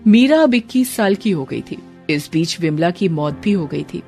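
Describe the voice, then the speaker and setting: A woman's voice: on the low side, animated, moderate pace, mellow, soft background music. A woman narrator delivering dramatic, scripted storytelling for a cartoon.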